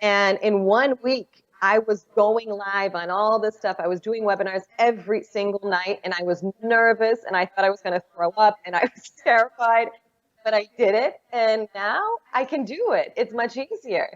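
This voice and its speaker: A woman's voice: mid-range voice, normal speed, highs cut off. A woman speaking on a conference panel, telling a story in an earnest, encouraging way.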